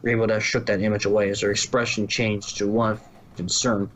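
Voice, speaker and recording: A man's voice: fairly low pitch, little pitch movement, brisk, slight background noise. A man reading story narration from a script, now and then stumbling and rereading a phrase. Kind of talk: scripted fan fiction narration.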